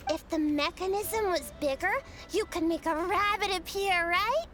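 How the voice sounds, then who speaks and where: Proud, high-voiced lady scholar. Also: moderate pace. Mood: proud, excited. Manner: lecturing, self-important, animated. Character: proud, theatrical female scholar and inventor, patient with children. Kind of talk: anime-style video game voice acting.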